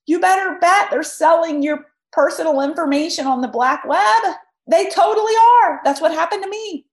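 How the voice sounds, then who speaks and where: A woman with a high voice, made scratchy by a cold, hosts a solo podcast. She talks emphatically and right at her listeners, and the sound rings in an echoey room. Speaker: podcast host. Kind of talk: solo podcast talk, advice.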